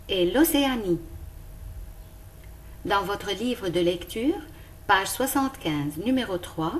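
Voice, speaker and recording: Lady teaching little kids, slow careful talk, pitch jumps around a lot. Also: darkish tone. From Lebanon. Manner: slow, careful and clearly articulated. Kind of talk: recorded lesson for young pupils.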